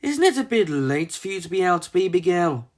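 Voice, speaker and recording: Brief: narrator plays an adventurous young hen, cheeky, chummy, excited, lively pitch, storybook. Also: moderate pace, lightly acted.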